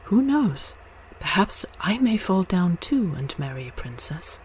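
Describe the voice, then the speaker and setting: A woman's voice: fairly low pitch, very animated, measured pace, rough recording. A woman voicing a naive young fir tree in a storybook, wistful yet hopeful, with background noise. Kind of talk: storybook character voice.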